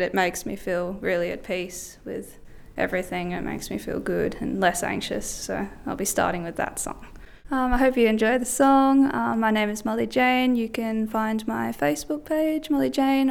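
Young woman, Australian accent, mid pitch, creaky, smooth, hesitant casual musician talk. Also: steady tone, moderate pace. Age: young adult. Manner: hesitant, informal. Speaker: musician interviewee.

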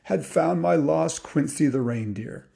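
Man putting on a jolly old Santa, pitched up high. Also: measured pace, vocal fry, warm tone. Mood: delighted, proud. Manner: booming ho-ho-ho laughs, hearty exclamations. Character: jolly old big-bellied Santa Claus, excited and kindly.

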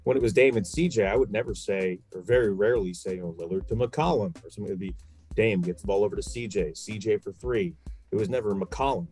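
A man's voice: lowish voice, fast, a little mellow, faint music behind. A man who works as a sports broadcaster, chatting casually in a blunt, colloquial way. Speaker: sports broadcaster, podcast guest. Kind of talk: casual chat.

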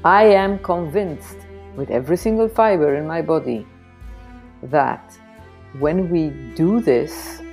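Slow, low-voiced woman hosting a podcast. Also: a little mellow. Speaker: podcast host. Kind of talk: conversational podcast introduction and interviewing.

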